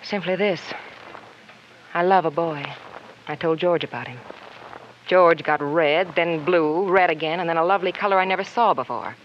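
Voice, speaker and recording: A woman's voice: fairly low pitch, moderate pace, long pauses, lightly acted. An actress playing a cold, candid young woman in an old radio crime drama, blunt and unsentimental. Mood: cold resentment. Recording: muffled, noisy old radio recording.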